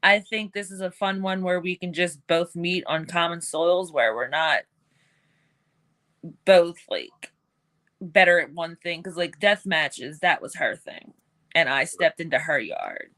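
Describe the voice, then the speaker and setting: A woman's voice: fairly low pitch, unhurried, bright, forceful tone. A young woman with a New York accent, a wrestler chatting as a podcast guest, brash and playful, laughing often. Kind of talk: casual podcast interview.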